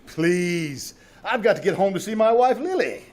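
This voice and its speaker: A pompous, theatrical husband, played by a man in old-time radio comedy style. The voice sits high and swings widely, with grandiose, melodramatic delivery. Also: animated, moderate pace, darkish tone. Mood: irritated.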